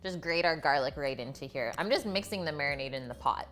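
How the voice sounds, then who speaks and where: A woman's voice: low-pitched, expressive, quick pace, fairly bright tone. A woman hosting a live cooking stream, talking in a chatty, informal way to viewers as she works and tells stories. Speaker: cooking livestream host.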